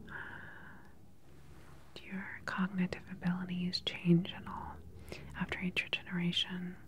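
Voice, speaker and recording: A woman in her thirties performing an ASMR medical roleplay as a calm, attentive doctor, asking questions in a soft, unhurried, clinical way close to the microphone. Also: on the low side. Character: calm, attentive government research doctor examining a patient.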